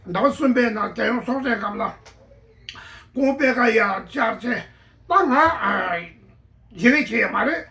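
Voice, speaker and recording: Slow, halting woman in an echoey room. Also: on the high side, creaky, bright, forceful tone, recorded from a distance. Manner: halting, with many pauses and restarts. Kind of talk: spontaneous personal account.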